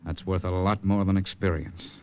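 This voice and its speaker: An actor playing a calm American undercover agent in 1940s radio style, measured, confiding and reassuring. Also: male voice, sits low, smooth, highs cut off, lightly acted. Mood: persuasive. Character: calm, steady American undercover spy, trusting and persuasive.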